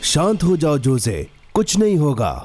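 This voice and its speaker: A man voicing a caring, sensible friend in a children's cartoon dub, reassuring and expressive. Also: on the high side, a little slow, loud music behind the voice. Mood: patient, firm. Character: caring, sensible male friend and minder, patient.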